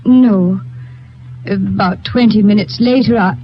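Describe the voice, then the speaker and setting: Casting: a guarded young Polish secretary, old-time radio play, dull vintage sound. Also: female voice, mid-range voice, takes it slowly. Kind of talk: 1950s radio drama acting.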